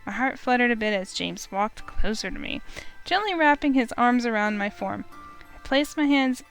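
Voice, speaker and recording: A woman's voice: a little high, normal speed, vocal fry, soft background music, low-quality recording. Woman reading a visual novel aloud. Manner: expressive, first-person storytelling. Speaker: streamer.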